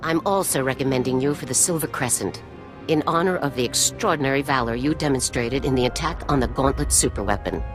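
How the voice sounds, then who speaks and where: An actress playing a formal, authoritative army general in a video game, speaking in a measured, official manner. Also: female voice, a bass voice, music in the background. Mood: formal approval. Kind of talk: video game cutscene acting.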